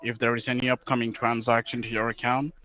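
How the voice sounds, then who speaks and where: A man's voice: mid-range voice, little pitch movement, fast talker, bright, forceful tone, rough recording. A man acting as a bank phone agent, polite and procedural in formal call-center style. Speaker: phone scammer posing as bank fraud agent. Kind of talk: scripted call-center talk.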